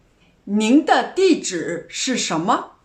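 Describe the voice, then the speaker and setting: A woman giving a scripted language lesson as a teacher, in a didactic, deliberate way, slowly enunciating repeated example phrases. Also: medium pitch, big pitch swings, darkish tone. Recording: roomy, echoing voice recording.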